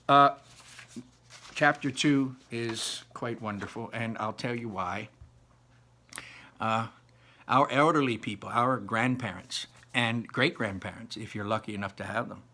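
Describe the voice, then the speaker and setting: A man's voice: lowish voice, pushed and bright. A man reading a children's story aloud and commenting informally, in an earnest, encouraging way.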